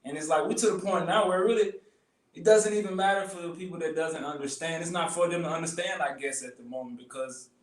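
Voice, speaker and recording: A man's voice: on the high side, fast talker, distant mic. A man from Memphis, Tennessee, a guest talking earnestly about his faith in a casual conversation. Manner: earnest, faith-filled talk. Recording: roomy sound from off mic.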